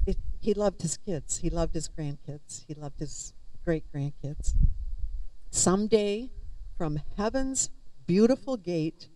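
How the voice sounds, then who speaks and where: An older woman giving a eulogy outdoors, soft-spoken and reading a poem from a sheet into a handheld mic. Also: on the low side, a little hiss or hum. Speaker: family member giving a eulogy. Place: outdoors at a waterside memorial gathering. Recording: distant outdoor camera recording.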